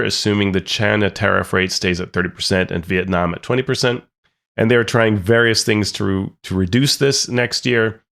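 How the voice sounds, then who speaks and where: A man's voice: on the low side, creaky. A man co-hosting a tabletop gaming podcast, casually running through earnings figures with dry asides. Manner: relaxed, reads figures off notes with dry asides. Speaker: podcast co-host. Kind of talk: casual news discussion.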